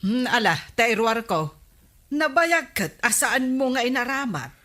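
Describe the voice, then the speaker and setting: Slow, sharp Ilocano captain's wife. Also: female voice, on the high side, big pitch swings, exaggerated voice. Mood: skeptical. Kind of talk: Filipino radio comedy drama acting.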